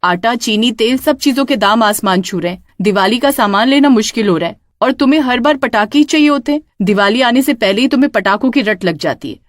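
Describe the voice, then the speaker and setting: A woman's voice: on the high side, a little fast, few pauses, no room sound, studio quality, close to an everyday voice. A woman voicing a strict, worn-out village mother in a children's story, exasperated and admonishing.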